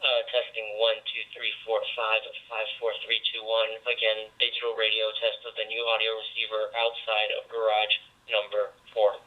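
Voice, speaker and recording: A man's voice: lowish voice, monotone, moderate pace, a little hiss or hum. A man making repetitive test announcements, heard transmitted over a two-way radio. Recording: muffled lo-fi walkie-talkie transmission.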